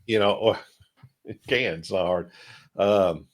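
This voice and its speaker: A man's voice: low-pitched, slow pace. A man co-hosting a tech panel show, talking through technical points casually in a rambling, hedging way.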